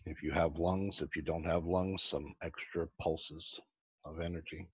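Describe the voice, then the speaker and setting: A man leading a guided meditation as host, giving slow, soothing instructions with long pauses and a husky voice. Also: low voice, fairly even intonation. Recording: dull, lo-fi, roomy sound.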